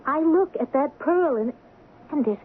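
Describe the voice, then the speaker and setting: A woman's voice: high-pitched, lively intonation, measured pace, phone-quality sound. An actress playing an earnest, naive and impressionable teenage girl in an old radio drama. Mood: troubled, confessing. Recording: thin, noisy old radio recording.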